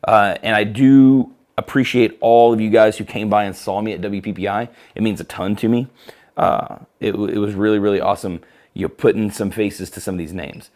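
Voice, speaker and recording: A man with a hoarse, scratchy throat walks viewers through lighting gear in a live studio stream. His voice sits fairly low, and he talks in a loose, chatty way, filling pauses with "uh" as he handles the equipment. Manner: relaxed, chatty, with frequent fillers. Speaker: company product presenter. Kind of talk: casual live product demo. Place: photo studio.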